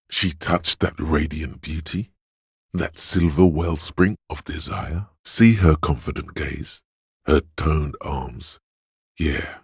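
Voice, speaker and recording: The seductive inner voice of craving in a video game, extremely deep and thick with gravel. He drawls and insinuates like a tempter, husky and rough-edged, always goading toward pleasure. The sound is close and dry. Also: no echo, full character voice. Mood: sly, lustful. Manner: sensual, drawling, insinuating, savouring words. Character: hedonistic inner voice of addiction and lust, seductive and goading. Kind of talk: video game character voice-over.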